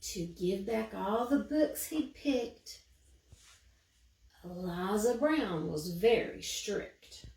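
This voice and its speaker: A woman narrating a children's picture book as an expressive, dramatic bedtime story. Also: mid-range voice, extremely slow. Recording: roomy echo, distant microphone.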